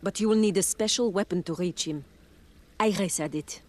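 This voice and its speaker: An actress playing a haughty, serene cultist with a French accent and a pompous, mystical manner in a video game, a talking severed head. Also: female voice, on the low side, normal speed, slight background noise, lightly acted. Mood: aloof, cryptic. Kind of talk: video game character acting.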